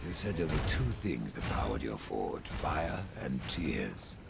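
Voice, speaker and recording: A grim undead horseman from a fantasy game, with an extremely deep, gravelly voice. He is curt, dry and imperious, with music playing behind him. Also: very animated, a little slow, exaggerated voice. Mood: guarded, probing. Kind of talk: video game acting.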